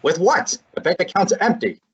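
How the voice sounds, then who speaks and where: A wisecracking, exasperated young male assistant, played by a student. The tone is a little husky, heard through a dull lo-fi recording. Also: medium pitch, big pitch swings, normal speed. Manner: pleading, wisecracking, insistent. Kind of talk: student radio drama.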